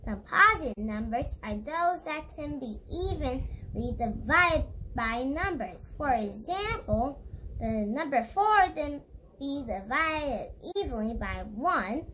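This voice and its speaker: A woman's voice: high voice, sing-song, slow pace, bright, forceful tone, a little hiss or hum, low-quality recording. A female reader reading an educational children's book aloud, stumbling over words now and then. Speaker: read-along book reader.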